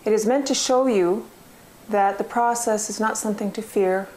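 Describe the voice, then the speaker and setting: A woman's voice: mid-range voice, moderate pace, dark tone, a little hiss or hum, poor audio. A woman giving a scripted introduction as the presenter of a community information video. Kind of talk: scripted narration.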